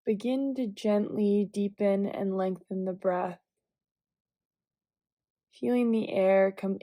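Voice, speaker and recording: Female meditation guide: unhurried, soft-edged mellow tone, gentle cues, very dry close sound. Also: medium pitch, gravelly, no echo. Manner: calm, gentle, measured cueing with long pauses. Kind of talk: guided visualization meditation, instructional.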